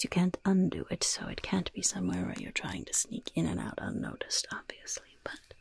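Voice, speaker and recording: A woman voicing a gleeful, mischievous goblin shopkeeper in a breathy, raspy whisper, slowly and slyly savoring every curse and poison in an ASMR roleplay. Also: medium pitch, no room sound, full character voice. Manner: slow, savoring, slyly polite.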